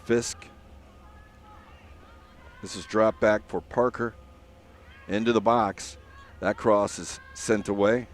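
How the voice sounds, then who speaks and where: Low-voiced man calling a soccer match. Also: slow pace, long pauses. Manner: steady, filler-heavy play-by-play with frequent "uh". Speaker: play-by-play commentator. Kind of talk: live soccer commentary.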